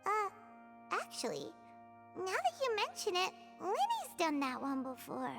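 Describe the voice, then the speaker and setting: A woman voicing a tiny, childlike floating fairy companion in a video game, squeaky, chatty and gushing. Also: wildly swooping pitch, unhurried, a bit bright, heavily acted. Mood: curious, gushing. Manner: gushing, exclamatory, refers to herself by name. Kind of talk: animated video game dialogue. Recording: music behind the voice.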